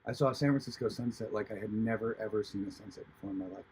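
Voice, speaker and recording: Husky-voiced man telling a personal story. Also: fairly low pitch, low-quality recording. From Hawaii. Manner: halting, many restarts and fillers; earnest, reflective. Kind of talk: personal testimony, casual storytelling.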